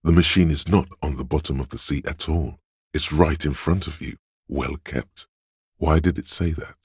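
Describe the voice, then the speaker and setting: Very deep, gravelly, brooding inner voice. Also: male voice, normal speed, dry recording, clean studio sound, heavily acted. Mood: hushed, wondering. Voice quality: gravelly, husky. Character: man's inner voice, brooding, tactile, mechanically minded. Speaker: game narrator and inner-voice actor. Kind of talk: literary second-person game narration.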